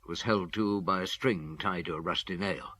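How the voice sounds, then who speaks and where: A brooding detective in a radio drama. His voice sits low, and he speaks as a methodical investigator, both telling the story and questioning those he meets. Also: moderate pace, fairly bright tone, no room sound. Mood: quiet, absorbed. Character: male police chief inspector, brooding and methodical investigator. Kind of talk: first-person radio drama narration and dialogue.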